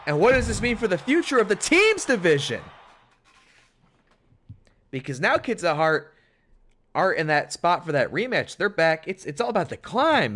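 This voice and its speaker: A hoarse man commentating a wrestling video game on a stream, with animated, chatty hype. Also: a little high. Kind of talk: play-by-play commentary.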